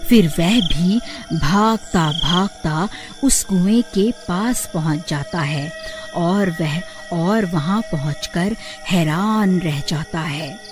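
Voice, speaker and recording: Female storyteller: scripted narration, unhurried pace, light music bed. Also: on the low side.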